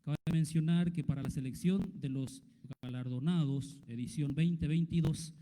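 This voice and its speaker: Dark-voiced man announcing in a hall. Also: on the high side. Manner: brisk formal announcing. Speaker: master of ceremonies. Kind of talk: formal event announcing. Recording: echoey hall.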